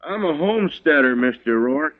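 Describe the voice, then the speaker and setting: Through muffled vintage radio sound comes a man acting a stubborn homesteader with a rural American accent. His voice is on the high side for a man, and his talk is folksy, deliberate and plain. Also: expressive, lightly acted. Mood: defiant. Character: stubborn, plain-spoken homesteader standing up to ranchers. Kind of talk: 1950s radio western acting. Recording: dull vintage radio recording.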